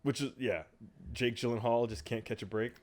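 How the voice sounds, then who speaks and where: A man's voice: mid-range voice, steady tone, moderate pace, a crowd in the background. A man co-hosting a casual podcast, riffing in loose banter. His voice sits in a middle register, and he often restarts his sentences or trails off mid-thought. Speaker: podcast co-host.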